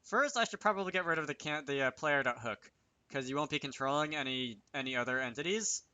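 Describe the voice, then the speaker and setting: Bright-voiced man thinking aloud. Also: a little high, fast talker, no echo. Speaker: game developer hosting a tutorial series. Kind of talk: casual unscripted screen-recording commentary.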